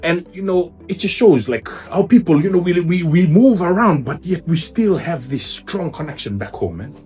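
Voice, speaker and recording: A man hosting a casual interview, talking in an animated way with many fillers. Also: a little high, moderate pace, slightly rough, faint music behind.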